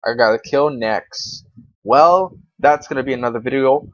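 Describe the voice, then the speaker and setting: A young man talks through a video game in a loose, slangy ramble, swearing casually as he thinks out loud. His voice sits at an average male pitch. The sound is dry and close but dull and lo-fi, like a basic computer microphone. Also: moderate pace, no echo. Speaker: gaming video creator. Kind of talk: casual unscripted game commentary.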